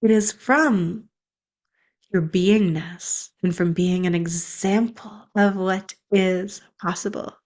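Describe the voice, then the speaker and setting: A woman's voice: medium pitch, slow pace, darkish tone. A young woman, a spiritual coach, speaking in an earnest, flowing, affirming way in an inspirational monologue.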